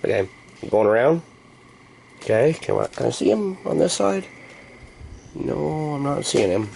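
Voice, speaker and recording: Creaky-voiced man rambling casually, slangy. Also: mid-range voice, sing-song, a little slow, darkish tone, slight background noise, poor audio. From United States. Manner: playful, slangy, mildly profane. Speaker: hobbyist vlogger. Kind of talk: rambling casual chat to camera.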